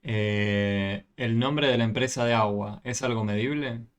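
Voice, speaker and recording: A man's voice: mid-range voice, steady tone, measured pace, slight background noise. A man tutoring a math class over a video call, patiently explaining and often checking with questions.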